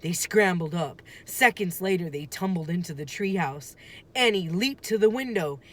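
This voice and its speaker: A woman reading a children's story aloud like a teacher, with deliberate, dramatic phrasing and emphasis. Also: fairly low pitch, animated.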